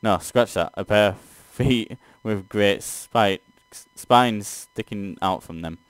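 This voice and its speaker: A man's voice: on the low side, slow pace, dry recording. A man doing a let's play, reading a text game aloud and reacting casually.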